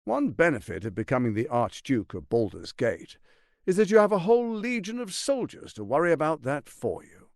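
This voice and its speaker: Pompous scheming tyrant with wildly swooping delivery. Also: male voice, a little high, very animated, moderate pace, a little mellow, no room sound, clean studio sound. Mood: mocking. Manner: pompous, theatrical, articulate, condescending. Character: scheming, silver-tongued tyrant archduke, grandiose and menacing. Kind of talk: theatrical video game voice acting.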